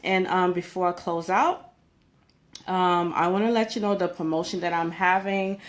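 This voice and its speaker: Lady coach doing an advice vlog, kinda low voice, chatty and emphatic, keeps checking you follow. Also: fairly even intonation, normal speed. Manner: conversational, emphatic, checks in with "okay?". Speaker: career coach giving job interview tips. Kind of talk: informal advice vlog, talking to viewers.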